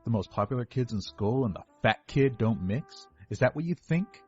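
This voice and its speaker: An actor playing an overweight eighteen-year-old rich kid giving a rambling, defensive first-person confession to police. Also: male voice, mid-range voice, lively intonation, moderate pace, a little mellow, slightly rough, dull audio. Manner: rambling, self-correcting, defensive. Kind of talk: dramatic first-person monologue reading. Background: background music.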